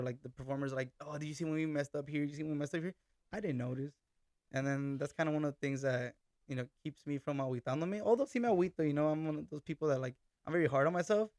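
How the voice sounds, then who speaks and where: A young man talks on a podcast in an easygoing, storytelling way, swearing now and then and switching into Spanish phrases. His voice sits a little high. The sound is dry and close, with no room behind it. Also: dry recording. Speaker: band vocalist and accordion player. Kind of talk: casual podcast chat.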